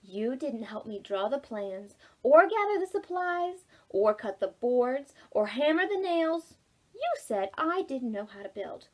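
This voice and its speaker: A woman reading a picture book as a determined, indignant little girl, speaking emphatically and deliberately. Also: high-pitched, very animated, heavily acted. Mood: indignant, firm. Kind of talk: picture-book read-aloud.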